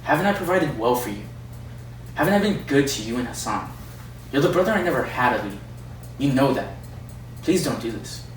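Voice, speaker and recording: A man's voice: medium pitch, very animated, a little fast, long pauses, reverberant, distant mic, close to an everyday voice. Student acting a distraught, commanding father, noisy recording. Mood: pleading. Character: distraught, commanding Afghan father and master, wounded. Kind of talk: amateur readers' theatre. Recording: noisy amateur recording, loud background hum.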